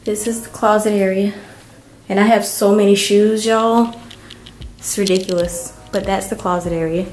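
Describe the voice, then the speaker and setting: A woman vlogger giving a casual, unhurried walkthrough of an empty apartment, filmed on a handheld camera. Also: mid-range voice, steady tone, darkish tone, slight background noise, low-quality recording. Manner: unhurried, chatty, many ums. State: hot and worn out from moving.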